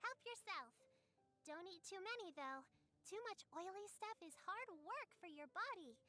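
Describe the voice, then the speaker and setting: A woman's voice: very high-pitched, animated, moderate pace, bright, forceful tone, faint music behind, heavily acted. A woman voicing a polite, nurturing little girl with childlike eagerness, a character in a video game. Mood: polite, caring. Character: cheerful caring little girl, young adepti disciple.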